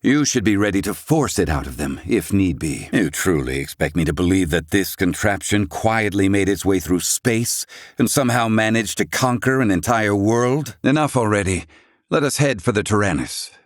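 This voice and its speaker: A man's voice: fairly low pitch, lively intonation, normal speed, no room sound, studio quality, natural voice. An actor playing a proud, sardonic old male scholar and healer in a video game, speaking in formal, archaic phrasing. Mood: sardonic, indignant. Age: older. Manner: haughty, formal archaic diction.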